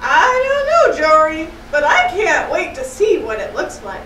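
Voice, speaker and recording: An eager little puppet sailor, voiced by a woman, speaks emphatically at a very high pitch. The delivery is unhurried and swoops up and down. It sounds like a children's show in a roomy space. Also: huge pitch swings, a bit bright, exaggerated voice. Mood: curious. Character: eager, excitable young puppet sailor creature. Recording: roomy echo, slightly distant mic, faint noise.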